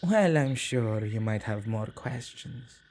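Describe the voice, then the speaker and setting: A man playing an earnest, tender male witch who protects ghosts, speaking softly and intimately in an ASMR roleplay. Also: mid-range voice, expressive, takes it slowly, a little hiss or hum. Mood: warm, gentle. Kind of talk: ASMR boyfriend roleplay acting.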